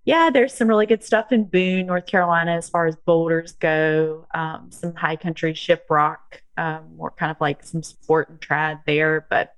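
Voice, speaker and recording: Chatty Southern woman, lowish voice. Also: steady tone, a little slow, hardly any pauses, clear, resonant tone, no echo. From southern United States. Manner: chatty, laughs often, lots of um and like. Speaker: guest, strength coach. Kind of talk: casual podcast interview.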